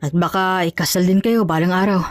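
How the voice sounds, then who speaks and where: Casting: female reader as authoritative businessman dad for a romance audio story, measured delivery, music underneath. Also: lowish voice, fairly even intonation, dry recording, close to an everyday voice. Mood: firm. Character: stern, protective wealthy father, businessman.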